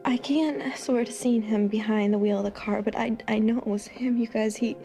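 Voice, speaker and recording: An actress playing a hurt, bitter young wife in a TV soap, speaking in a halting, fragile way. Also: female voice, a little high, fairly even intonation, dark tone, faint music behind, close to an everyday voice. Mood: fearful accusation. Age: young adult. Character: wounded, bitter young wife in a hospital bed. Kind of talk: TV soap opera acting.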